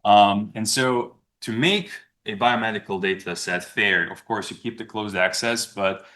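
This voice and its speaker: Man, young adult, slightly low pitch, conference talk, halting, self-correcting. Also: sharp tone. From Serbia. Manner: halting, with frequent restarts and repeated words. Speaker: research presenter. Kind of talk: conference presentation.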